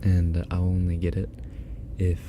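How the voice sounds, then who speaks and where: A low-voiced man hosting a relaxed ASMR livestream, talking slowly and often slipping into a whisper. He is chatty and a little self-deprecating. A steady hiss sits under the binaural recording. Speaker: ASMR streamer. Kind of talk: casual ASMR livestream chat reading donations. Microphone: binaural microphone.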